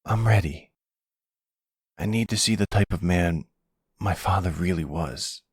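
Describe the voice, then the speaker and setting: A man's voice: low-pitched, a little slow, breathy edge, dry recording, studio quality. A man voicing an earnest, casual young man, the son in a roleplay audio drama. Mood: earnest, curious. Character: earnest young man, mob boss's partner's troubled son.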